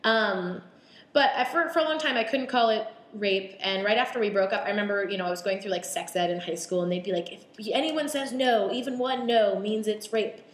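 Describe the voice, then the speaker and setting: A young woman guest on a podcast who talks candidly and chattily, often restarting her sentences and filling pauses. Her voice sits at an ordinary pitch. It carries a noticeable echo from the room. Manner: chatty, halting, many fillers and restarts. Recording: roomy echo.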